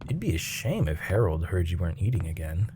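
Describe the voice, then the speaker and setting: Seasoned space crewmate, played by a man: lowish voice, teasing, breathy, chatty ASMR roleplay delivery over clearly noisy ambience. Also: big pitch swings, brisk. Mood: playful, flirty. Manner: teasing, breathy, dropping into near-whispers. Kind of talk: intimate ASMR roleplay acting.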